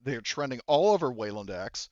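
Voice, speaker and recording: A man narrating a tabletop game as game master in a casual, halting, rambling and sometimes profane way. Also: mid-range voice, very animated, darkish tone, no echo, dull audio.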